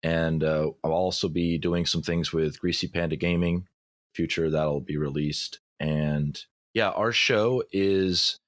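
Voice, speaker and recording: Man, very deep voice, halting and hesitant, dry close sound. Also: steady tone, no room sound. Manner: halting, many fillers and restarts. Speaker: game master, podcast guest. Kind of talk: casual podcast roundtable.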